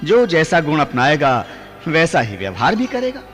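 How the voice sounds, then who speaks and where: A man's voice: on the high side, huge pitch swings, moderate pace. An actor playing a benevolent, fatherly creator god who instructs with authority in declamatory radio drama style. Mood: instructive. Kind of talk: mythological radio drama acting. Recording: studio radio play with music behind.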